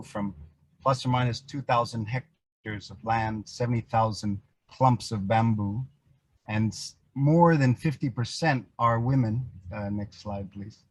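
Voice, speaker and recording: A man presents his work on a webinar panel in a fairly low voice with a husky edge. He moves haltingly, pausing and repeating words. The sound is dry and close. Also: dry recording, rough recording. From Indonesia. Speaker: business representative, webinar panelist. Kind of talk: prepared introduction in an online panel. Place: online video call.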